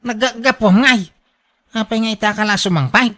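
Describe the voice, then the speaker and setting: High-pitched, anxious Ilocano woman played by an actress. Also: normal speed, a bit bright, close to an everyday voice. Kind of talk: Ilocano radio drama acting.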